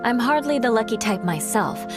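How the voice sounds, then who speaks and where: A woman voicing a calm, level-headed young woman companion in a game, speaking in a steady, composed way. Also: fairly high pitch, moderate pace, natural voice. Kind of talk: game cutscene voice acting. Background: game music.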